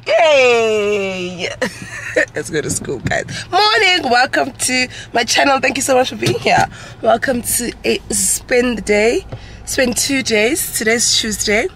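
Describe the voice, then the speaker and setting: A woman's voice: on the high side, lively intonation, slow pace, noisy background. A woman, chatting casually to camera as a mom vlogger and correcting herself as she rambles. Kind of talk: casual vlog chat to camera. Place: at home.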